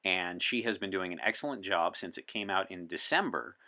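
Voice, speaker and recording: An American man talks as a podcast guest. His voice is fairly low yet bright and forward. He explains things conversationally, with earnest enthusiasm. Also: fairly quick. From United States. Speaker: podcast guest, presented as a time traveler from 1964.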